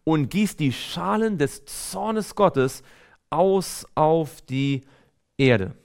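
A man's voice: on the high side, expressive, very slow, gravelly, darkish tone, smooth. A man preaching a sermon in an earnest, exhortative way, reading scripture aloud and stressing key phrases.

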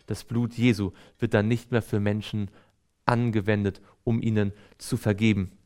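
A man's voice: fairly low pitch, little pitch movement, normal speed, a little mellow. Earnest male preacher delivering a sermon. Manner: earnest, exhortative, emphatic phrasing. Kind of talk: sermon with scripture readings.

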